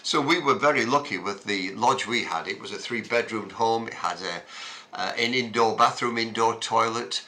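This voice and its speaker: A man, recalling his childhood in an unhurried, reflective way, pausing often with ums and uhs. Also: lowish voice, pushed and bright. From Scotland. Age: 70s. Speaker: interviewee. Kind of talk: reminiscing conversation.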